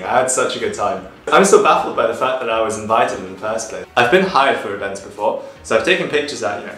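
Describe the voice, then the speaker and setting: Chatty young man in an echoey room. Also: lowish voice. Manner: relaxed, chatty, explains step by step with "basically" and "you know". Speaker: photography vlogger. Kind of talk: casual to-camera vlog explaining photography. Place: small room at home, indoors.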